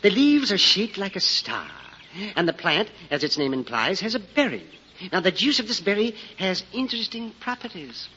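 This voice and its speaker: A genteel, eager botanical traveler in 1940s radio style. His voice is bright and very animated, with courtly exclamations. The old recording sounds muffled. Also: high voice, normal speed, a little hiss or hum, heavily acted. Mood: confiding. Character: genteel, eager, excitable traveling plant hunter.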